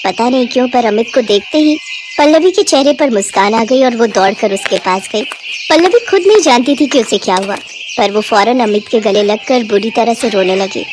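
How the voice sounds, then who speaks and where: A woman's voice: fairly high pitch, expressive, moderate pace, noisy background. A woman reading a scripted moral story as its narrator.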